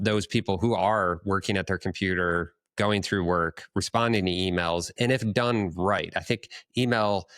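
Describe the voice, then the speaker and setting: A man's voice: deep voice, fairly bright tone, no room sound, clean studio sound. A man hosting a podcast in a conversational style, thinking aloud and feeling out his phrasing as he goes. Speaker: podcast host.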